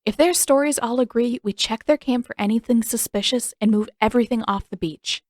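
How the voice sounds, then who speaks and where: A woman's voice: a little high, brisk, a little mellow, no echo, pitched above the performer's own voice, natural voice. A stern young woman who leads warriors in a fantasy audiobook, voiced by a woman reader. She speaks firmly, in clipped, authoritative phrases, recorded dry and close. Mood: commanding. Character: Suki, stern, commanding young female warrior leader. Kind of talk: audiobook character dialogue.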